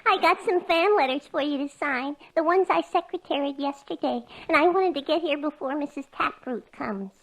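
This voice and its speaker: Very high-voiced, dim secretary. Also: female voice, moderate pace, hardly any pauses, low-quality recording. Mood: earnest. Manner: naive, earnest malapropisms. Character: dim, chatty young female secretary, cheerfully muddled. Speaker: comedy sketch actress. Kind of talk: 1940s-50s radio comedy acting. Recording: old radio comedy recording.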